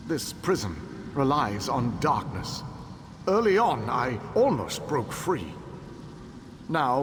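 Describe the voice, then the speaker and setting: An actor playing a proud ancient elven king and calculating tactician, speaking in a measured, formal, commanding way in a video game. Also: medium pitch, background noise. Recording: long hall reverb with loud ambience.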